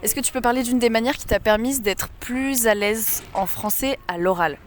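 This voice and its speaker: A woman hosting a casual street interview, asking questions in a quick, chatty way and encouraging her guest with frequent agreeing murmurs. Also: fairly high pitch. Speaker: interviewer, channel host. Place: outdoors on a busy city street.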